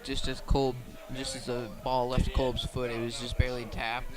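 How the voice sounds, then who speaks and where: Male sports commentator, level and unexcitable pitch, calling a live football game on radio from the field. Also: completely flat delivery, normal speed, crowd noise, poor audio. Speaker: play-by-play commentator. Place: outdoors at a high school football field.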